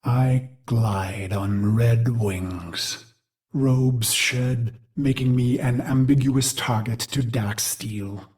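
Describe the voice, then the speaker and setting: A man voicing a brooding warrior machine mind in a video game, recalling a brutal past in a grave, theatrical monologue with deliberate phrasing. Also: medium pitch, fairly even intonation, darkish tone. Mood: grim, resolute. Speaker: game character narrator. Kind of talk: dramatic scripted game monologue.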